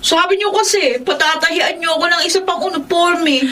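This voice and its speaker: A woman's voice: very high-pitched, fairly quick, bright, forceful tone, a little hiss or hum. An actress playing a whiny teenage schoolgirl who complains to her mother in a radio drama. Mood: pleading. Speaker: daughter.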